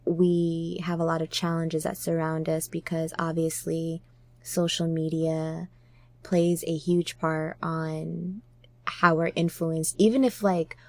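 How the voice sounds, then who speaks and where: A woman's voice: deep voice, flat delivery, slow pace, darkish tone, no echo. A woman hosting a podcast, talking in a casual, reflective way. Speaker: podcast host.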